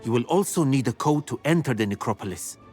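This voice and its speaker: A man's voice: medium pitch, expressive, fast talker, a little mellow, husky, faint music behind. A man voicing a formal, old-fashioned male keeper of ancient secrets in a video game cutscene. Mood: grave, earnest. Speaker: game character.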